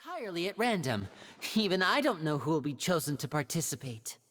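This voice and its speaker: High-voiced, showy young male magician. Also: sing-song, brisk, fairly bright tone, a little hiss or hum. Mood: gracious showmanship. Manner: showy, teasing, polished. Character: flamboyant young male stage magician, playful and confident. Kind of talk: theatrical stage patter in a video game.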